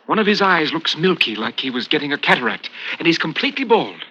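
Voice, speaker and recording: An actor playing an urgent, hunted American spy in an intense, secretive 1950s radio suspense style. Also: male voice, on the high side, very animated, quick pace, bright, forceful tone, husky, exaggerated voice. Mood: tense, secretive. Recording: muffled 1950s radio recording, music behind.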